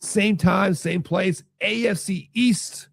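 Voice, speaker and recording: A sick man hosts a football talk show with a hoarse, raspy voice that keeps cracking into fry. He rambles, restarts and repeats himself between readings of viewer comments. The sound is dry and close, with no room around it. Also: sits high, slow pace, no echo. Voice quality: hoarse, gravelly. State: losing his voice, sick. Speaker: podcast host. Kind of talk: casual sports talk reading live chat.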